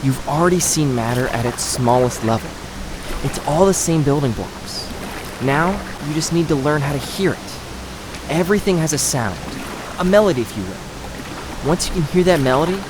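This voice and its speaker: A man playing an earnest mage who patiently coaches a pupil in an audio drama, speaking in a measured, instructive way. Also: fairly high pitch, lively intonation, natural voice. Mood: patient coaching. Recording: noisy, with water sound effects behind. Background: river water ambience.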